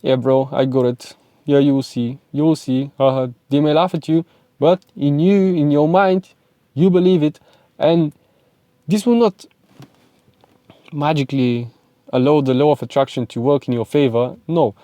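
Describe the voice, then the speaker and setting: A young Bulgarian-accented man lectures with pushy, repetitive emphasis, his voice sitting a bit high yet mellow and soft-edged. The sound is close and dry, with no room echo. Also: steady tone, normal speed. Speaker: self-improvement vlogger and speaking coach. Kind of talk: motivational talk to camera.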